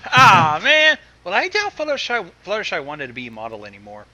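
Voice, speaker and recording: Bright, excitable high-pitched man. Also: fairly quick. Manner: exasperated, excitable. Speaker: host of online fan fiction reading. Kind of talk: casual, profane online chat.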